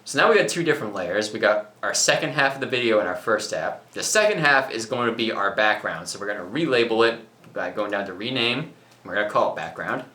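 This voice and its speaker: A young man co-hosting a casual software tutorial, talking through each step with jokey, self-mocking asides. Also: lowish voice, animated, fast, reverberant. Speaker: tutorial co-host. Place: small home recording studio.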